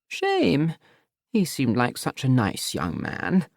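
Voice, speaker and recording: A man reading an audiobook and voicing a genteel, fussing older mother, slow and cloying. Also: mid-range voice, wildly swooping pitch, vocal fry, dry recording, studio quality, full character voice.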